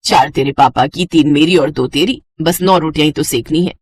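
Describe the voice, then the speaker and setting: A woman's voice: sits low, normal speed, a little mellow, breathy edge, no room sound. A woman voicing a practical middle-aged mother in a storybook tale, chiding and nagging but fond. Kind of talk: animated Hindi moral story dialogue.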